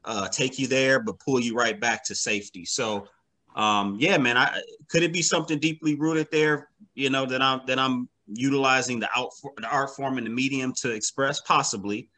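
Bright, forceful-voiced man in conversation. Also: medium pitch, steady tone, normal speed, low-quality recording. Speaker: interview guest, comic book writer. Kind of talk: casual, reflective interview conversation.

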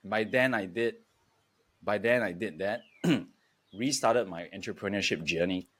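Low-voiced man, halting and reflective. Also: fairly bright tone, breathy edge. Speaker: podcast guest, entrepreneur. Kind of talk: reflective interview talk.

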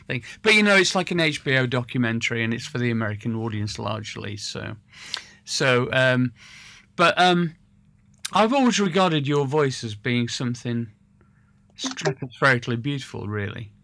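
A man interviewing a guest in a relaxed, rambling way, full of hesitations and restarts. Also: medium pitch, normal speed, vocal fry, dry recording. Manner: rambling, hesitant, self-correcting. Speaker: interviewer and musician. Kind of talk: casual conversational interview.

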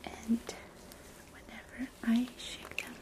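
A woman whispers gently through a soothing ASMR doctor roleplay. Her breathy, unvoiced whisper sits high, and she takes her time, speaking slowly and softly as she guides you through the exam. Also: monotone. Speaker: ASMR creator playing a doctor.

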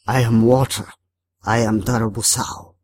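A woman voicing an ancient vengeful water spirit in a storybook, calm and menacing, with slow, solemn, formal phrasing. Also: extremely low pitch, breathy edge, pitched below the performer's own voice, exaggerated voice. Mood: grave, accusing.

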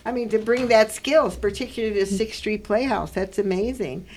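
A woman's voice: medium pitch, normal speed, a little mellow. An older woman hosting a chatty live interview in a radio studio, effusive and given to exclaiming. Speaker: radio show host and interviewer.

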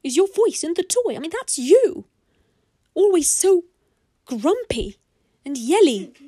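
A woman's voice: on the high side, very animated, slow, dry recording, clean studio sound, pitched above the performer's own voice, exaggerated voice. A woman voicing a playful, incredulous father in a children's story, speaking emphatically. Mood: startled.

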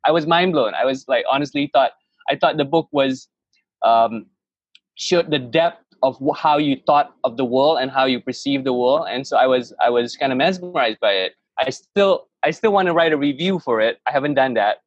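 A man's voice: mid-range voice, moderate pace, husky, dry recording, muffled recording. A man in his thirties who grew up in Malaysia, a podcast guest telling his story in a rambling, enthusiastic way with many restarts. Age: 30s. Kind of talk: casual interview chat.

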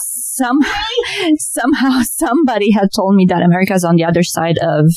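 A woman from Romania, a dietitian, telling her life story in a relaxed interview and laughing often as she talks. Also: mid-range voice, moderate pace, no room sound. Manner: laughs often, chatty with self-corrections. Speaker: guest, registered dietitian.

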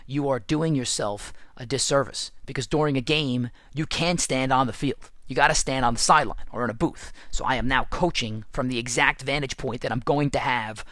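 A man hosting a casual podcast, hoarse and raspy from losing his voice, chatty and self-deprecating. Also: medium pitch, fairly quick, hardly any pauses, fairly bright tone, no room sound. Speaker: podcast host and football coach. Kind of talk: casual solo podcast with ad read.

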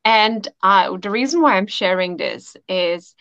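A woman from the Republic, a podcast guest telling stories in a heartfelt, unhurried, reflective way. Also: mid-range voice, fairly bright tone, dry recording. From Czech Republic. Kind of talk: warm storytelling chat. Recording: dull dry lo-fi audio.

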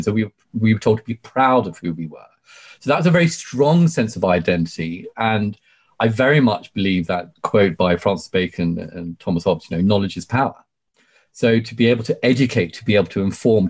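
A British man in his forties talks as an interview guest in a fairly low voice. He is talkative and emphatic, telling his own story conversationally and often dropping in "you know". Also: breathy edge. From UK, born in Sri Lanka. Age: 40s. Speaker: podcast guest, barrister.

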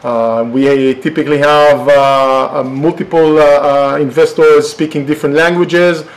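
A middle-aged man whose first language is Hebrew, hosting a live real estate investing Q and A in a halting way with many fillers. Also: on the high side, little pitch movement, low-quality recording. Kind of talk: live Q and A session.

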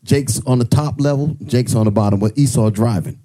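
A man's voice: medium pitch, a little fast, mellow. A man telling a story to a congregation in a rambling way, correcting himself as he goes.

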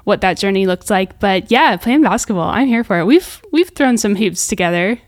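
A woman's voice: mid-range voice, expressive, moderate pace, dry recording, clean studio sound. A woman hosting a podcast interview, enthusiastic and affirming, often saying wow and yeah. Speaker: podcast host. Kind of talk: casual interview chat.

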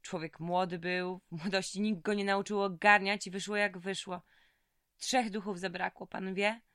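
A woman's voice: medium pitch, fairly even intonation, moderate pace, fairly bright tone, dry recording, clean studio sound, close to an everyday voice. An actress playing a weary, tough female prisoner in an audio drama, talking in colloquial, streetwise slang. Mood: wistful, reflective.

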